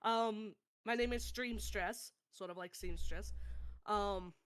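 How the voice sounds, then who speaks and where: A woman's voice: medium pitch, takes it slowly, fairly bright tone, clean tone. A woman streamer giving a casual, informal introduction of herself. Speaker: streamer and aspiring voice actor.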